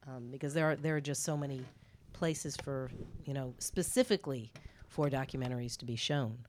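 A woman's voice: rumbling low, brisk, slight background noise. A woman working as a television editor, speaking on a panel in a frank, conversational way. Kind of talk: panel discussion.

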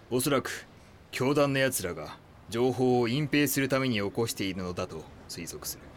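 An actor playing an authoritative police chief, stern and commanding, in an audio drama. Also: male voice, mid-range voice, fairly bright tone, a little hiss or hum. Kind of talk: Japanese audio drama acting.

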